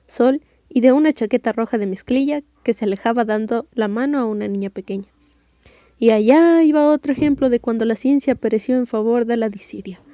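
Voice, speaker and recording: A woman's voice: a little high, warm tone, dry recording, studio quality. A woman with a Mexican accent narrating an audiobook story in a wry, scripted style.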